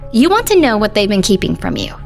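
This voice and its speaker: A woman's voice: medium pitch, wildly swooping pitch, fast, gravelly, darkish tone. A woman playing a loyal, concerned teenage girl, talking casually and supportively as a best friend in an audio drama. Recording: music under the voice. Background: music.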